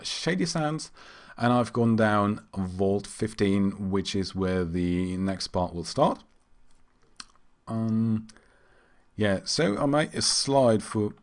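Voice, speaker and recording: A man from Germany, an English speaker, giving casual and somewhat halting gaming commentary. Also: on the low side. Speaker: game streamer. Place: indoors at a computer.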